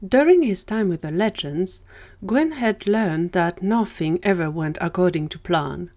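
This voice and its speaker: A woman's voice: fairly low pitch, animated, a little slow, darkish tone. A woman narrator reading a scripted audio drama story.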